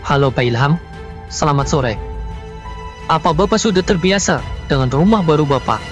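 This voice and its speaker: A man's voice: fairly high pitch, expressive, normal speed, close to an everyday voice. A man voicing a stern undercover police investigator in a cartoon, speaking formally and firmly. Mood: formal, composed. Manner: formal, firm. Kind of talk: Indonesian cartoon dialogue, formal. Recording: music behind the voice.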